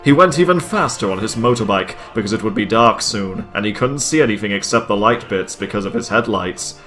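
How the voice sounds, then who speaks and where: A man's voice: on the low side, animated, a little fast, a bit bright. A man hosts a comic read-aloud with music behind him. He delivers the story dramatically and drops into amused asides, sounding on the edge of laughter. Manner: amused, breaks into laughter while reading. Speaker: host reading fan fiction aloud. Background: background music.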